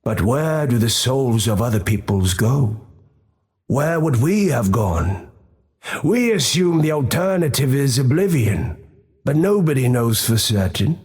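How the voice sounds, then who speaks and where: Thoughtful ghost adviser in a game, slow and formal, troubled by doubts, mid voice. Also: male voice, mid-range voice, sing-song, a little mellow. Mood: pensive, troubled. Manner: formal, pensive.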